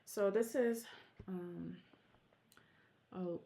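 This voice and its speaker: Korean-first-language woman rambling about her stitching, slow and kinda gravelly. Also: mid-range voice, dark tone. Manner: chatty, rambling, self-interrupting. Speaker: Flosstube vlogger. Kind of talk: casual show-and-tell vlog.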